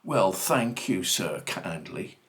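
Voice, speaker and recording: A man's voice: low voice, expressive, slow pace, fairly bright tone, full character voice. A man voicing a blunt, indignant working-class mother in a comic recited poem. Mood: blazing, outraged.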